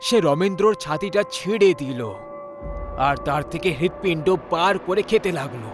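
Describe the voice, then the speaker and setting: A man delivering scripted narration of a horror story as a storyteller. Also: sits high. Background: music.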